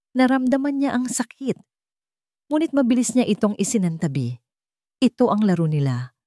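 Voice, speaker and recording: Low-pitched Filipino woman narrating a drama. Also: mellow, no echo, studio quality. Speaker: storyteller. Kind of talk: scripted dramatic story narration.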